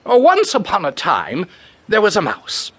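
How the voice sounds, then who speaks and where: A man works as a theatrical storyteller with a high, bright voice. His delivery is animated and unhurried, full of dramatic pauses. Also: husky, soft background music. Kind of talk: theatrical first-person storytelling.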